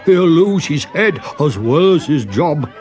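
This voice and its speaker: A man voicing excited, jeering onlookers from a festive crowd in a children's story, with drawn-out exclamations. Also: on the high side, pitched above the performer's own voice. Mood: mocking, merry.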